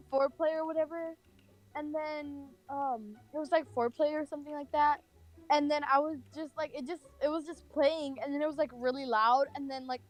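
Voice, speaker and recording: A woman's voice: high voice, poor audio. A young American woman telling a personal story casually, in a rambling way peppered with "like". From California, United States. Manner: rambling, heavy use of filler "like".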